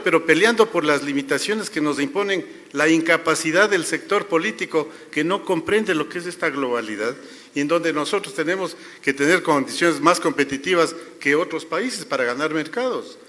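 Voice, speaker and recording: A man's voice: on the high side, pushed and bright. A man speaking on a business panel, making critical, emphatic remarks with frequent restarts. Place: conference hall. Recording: reverberant event hall.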